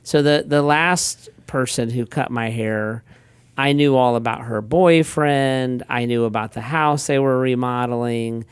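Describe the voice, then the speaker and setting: A middle-aged man, a pastor, telling everyday stories in a relaxed chatty way with frequent restarts. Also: mid-range voice, unhurried, clean tone, no echo. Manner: relaxed storyteller, frequent restarts and quoted asides. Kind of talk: casual conversational talk.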